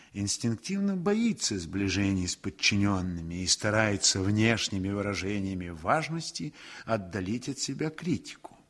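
A man's voice: on the low side, fairly bright tone, heavily acted. A man narrating a war story in a radio play, solemn, reflective and emphatic, with a declamatory manner.

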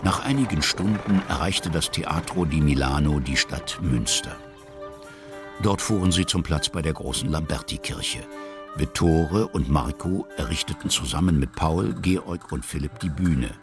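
An actor as the storyteller, narrating a historical children's radio play. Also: male voice, rumbling low, unhurried, over music. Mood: measured.

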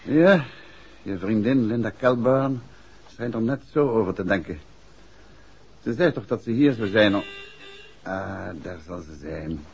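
A man's voice: lowish voice, animated, measured pace, pauses often, darkish tone. An actor playing a composed, courteous male detective in a 1960s radio drama. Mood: easygoing. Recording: muffled hissy vintage radio recording.

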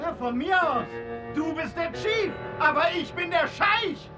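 An actor playing a cocky, threatening young gangster who barks taunts and orders in a crime radio drama. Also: male voice, very high voice, lively intonation, a little slow, music in the background. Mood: defiant, wary. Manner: taunting, aggressive, barking orders.

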